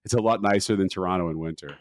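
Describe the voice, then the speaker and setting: A man who is a hedge fund manager, guest on a podcast, talking in a relaxed, casual way with frequent fillers and easy laughs. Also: sits low, very fast. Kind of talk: casual market chat.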